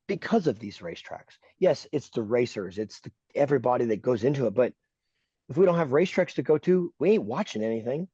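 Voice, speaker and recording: A man's voice: mid-range voice, dark tone, slightly rough, no echo, muffled recording. A man co-hosting a podcast, talking casually and bluntly, ranting with emphasis and frequent swearing. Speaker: podcast co-host.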